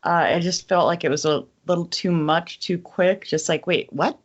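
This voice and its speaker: A woman's voice: on the low side, fairly even intonation, normal speed, lo-fi sound. A woman co-hosting a podcast, talking casually and plainly as a skeptical fan. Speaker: podcast co-host.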